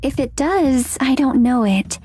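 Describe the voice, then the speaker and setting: A woman playing a gentle ghostly young woman in a visual novel, soft-spoken, formal and measured. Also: high-pitched, animated. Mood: calm, kindly.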